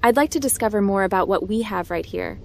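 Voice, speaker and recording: A woman's voice: medium pitch, expressive, quick pace, creaky, a little hiss or hum. A woman voicing a friendly, curious female hacker adventurer as the protagonist of a space video game. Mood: flirtatious. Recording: faint music behind the voice.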